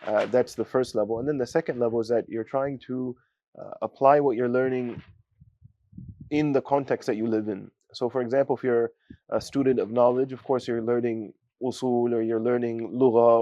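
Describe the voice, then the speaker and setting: Hesitant young man, dark voice. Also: mid-range voice, fairly even intonation, a little fast, no room sound, dull audio. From United States. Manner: hesitant, many fillers, sprinkles Arabic phrases. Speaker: student giving a testimonial. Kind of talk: casual spoken testimonial.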